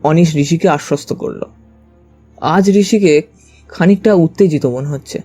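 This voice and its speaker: A man's voice: fairly high pitch, measured pace, mellow, faint music behind. A man narrating a story dramatically in the first person.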